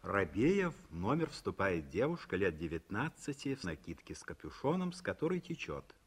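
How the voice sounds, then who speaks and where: Narrator, male, husky, lively delivery, old radio studio recording. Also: low voice, moderate pace. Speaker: narrator reading stage directions. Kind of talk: 1950s radio play narration.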